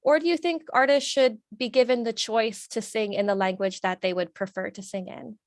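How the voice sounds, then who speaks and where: A woman interviewer with a fairly high voice asks long, thoughtful questions in a chatty, friendly way, pausing on fillers. The sound is close and dry, with no room echo. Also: steady tone. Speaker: interviewer host. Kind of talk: casual interview.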